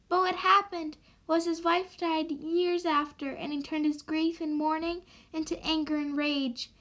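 An anxious helper from a magical town in an amateur radio play, voiced by a woman. The voice is high and slow, with an earnest, insistent way of telling things. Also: a bit bright. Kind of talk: amateur radio drama acting.

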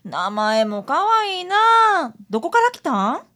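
A woman's voice: high-pitched, sing-song, slow, bright, forceful tone, exaggerated voice. A woman playing a friendly, teasing young detective's assistant with a Kansai accent in a radio drama. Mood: friendly welcoming.

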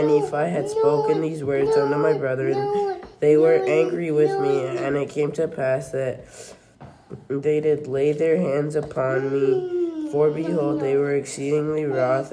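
A young reader reading scripture aloud haltingly, stumbling over words and correcting them. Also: mid-range voice. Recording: noisy home recording.

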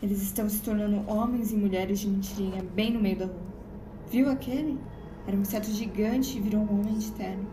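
A woman's voice: mid-range voice, steady tone, fairly quick, natural voice. A woman with a Brazilian accent playing a homeless, bitterly resigned doomsayer in an audio drama, speaking with urgent emphasis. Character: homeless woman, wary doomsayer witnessing an alien invasion. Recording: echoey room with faint background noise.

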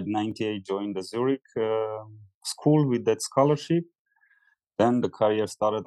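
A man in his forties, a former dancer, speaking as a podcast guest in earnest, halting English. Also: lowish voice, darkish tone, no room sound, rough recording. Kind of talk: casual interview talk.

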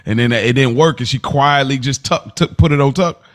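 A man co-hosting a casual podcast, rambling and animated as he acts out scenes from the show. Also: medium pitch, a little fast. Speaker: podcast co-host. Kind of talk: casual chat.

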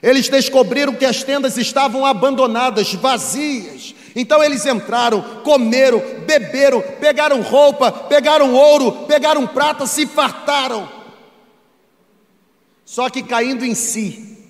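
A man's voice: extremely high pitch, a little slow. A man with a Brazilian accent preaching a sermon to a congregation, speaking emphatically and repeating phrases for rhetorical effect. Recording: echoey hall with long reverb.

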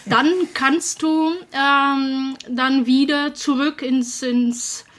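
A Polish-accented woman of about sixty chats as a guest, often pausing to search for words. She cannot pronounce the R and trills her lips instead. Her voice sits a little higher than most women's. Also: fairly even intonation, a bit bright. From Poland. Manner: hesitant, searching for words, many fillers. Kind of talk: casual conversation.

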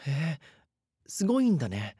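A man's voice: a little high, huge pitch swings, normal speed, dark tone, slightly rough, dry recording, clean studio sound, exaggerated voice. A man playing a haunted, frightened schoolboy narrator. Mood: hesitant. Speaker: voice actor narrating a drama. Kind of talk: first-person scripted horror narration.

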